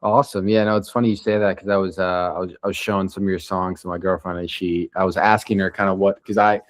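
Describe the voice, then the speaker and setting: A man hosting a casual interview, relaxed and easygoing, with many fillers. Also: sits low, fast talker, dry recording. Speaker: interview host.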